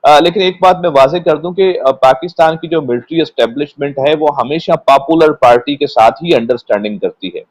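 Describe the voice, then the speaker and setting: A man with a Pakistani accent speaking as a guest commentator, explaining politics in a measured, unscripted way and sometimes repeating words. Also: on the high side, no echo, rough recording. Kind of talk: unscripted political analysis in a panel discussion.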